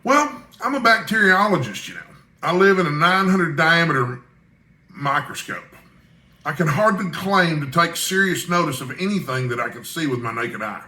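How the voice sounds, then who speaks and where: A blunt, bookish bacteriologist, as acted by a male reader in a storybook reading. He is wry and opinionated, with a bright, slightly high voice for a man. The room rings noticeably around him. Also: animated, normal speed, reverberant. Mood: wry, self-deprecating. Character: Tarp Henry, blunt, detached, gossipy bacteriologist and newspaperman. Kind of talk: audiobook character dialogue.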